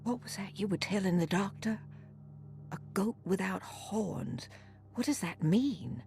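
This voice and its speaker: Video game role: troubled, pious woman, reverent and solemn, low-lit mellow tone with gravelly fry. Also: a little slow, faint music behind. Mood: pleading. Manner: solemn, hushed, reverent delivery. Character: devout, troubled woman seeking solace in voodoo, praying. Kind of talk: dramatic video game monologue.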